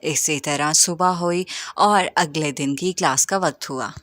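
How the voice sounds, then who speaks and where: A woman's voice: low voice, unhurried, a bit bright, no echo. A woman reading scripted narration for a children's moral story.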